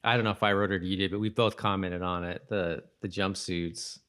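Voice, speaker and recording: A man's voice: deep voice, fairly even intonation, brisk, a bit bright. A man co-hosting a podcast, chatting casually and jokingly, often repeating words as he thinks aloud. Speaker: podcast co-host. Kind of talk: casual chat about a TV episode.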